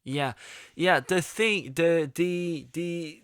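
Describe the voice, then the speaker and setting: A young man with a Korean accent talks to his viewers in a slow, lower voice, full of restarts and fillers. His voice is clear and smooth, recorded close and dry with no room sound. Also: fairly bright tone, dry recording. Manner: halting, many restarts and fillers, searching for words. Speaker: YouTube vlogger. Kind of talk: casual monologue.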